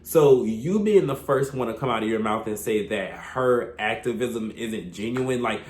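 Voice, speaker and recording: A man with a stuffy, congested voice from a cold, giving a chatty, opinionated recap commentary with sudden high exclamations. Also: lively intonation, normal speed, echoey room. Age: adult, past his thirties. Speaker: reality TV recap vlogger.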